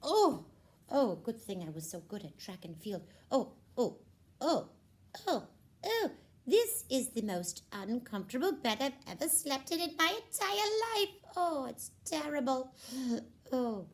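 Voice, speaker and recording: A woman plays a young princess in a puppet show. She sounds girlish, dainty and eager, with a flustered, deferential way of talking. Her pitch moves up and down a lot. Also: very animated, a little slow. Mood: exasperated.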